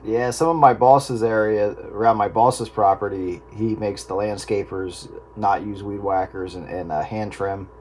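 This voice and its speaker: A man chatting casually on a two-way radio, in a halting, folksy way. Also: fairly low pitch, fairly even intonation. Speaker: radio hobbyist on a group channel. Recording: noisy two-way radio transmission.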